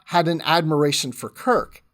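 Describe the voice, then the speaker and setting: Conversational man with a slightly high voice. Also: fairly even intonation, moderate pace, a bit bright. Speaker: podcast co-host guest. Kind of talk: casual chat, discussion.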